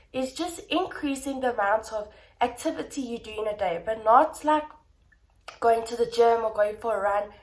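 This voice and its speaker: A woman's voice: fairly high pitch, rough recording. A young woman vlogger, slightly blocked up and nasal, chatting casually and encouragingly straight to her viewers. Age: young adult. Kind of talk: casual talk to camera.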